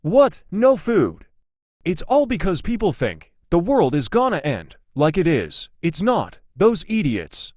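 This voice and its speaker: A man's voice: a little high, wildly swooping pitch, measured pace, darkish tone, no echo, studio quality, full character voice. A man playing an angry, dismissive skeptic who scoffs at doomsday panic in an exasperated, scornful way.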